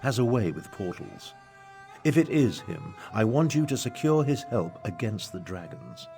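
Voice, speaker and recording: A man's voice: on the low side, sing-song, measured pace, creaky, darkish tone, exaggerated voice. An older man acting a pompous, haughty battle mage and imperial advisor in a video game, with theatrical delivery. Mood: brisk, commanding. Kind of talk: video game character dialogue. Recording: music behind the voice.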